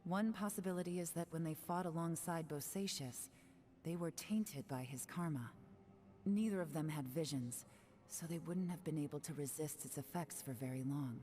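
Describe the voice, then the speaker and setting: Woman voicing a cool investigator, very low voice, dark tone, calm and dry, video game. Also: moderate pace, soft background music, natural voice.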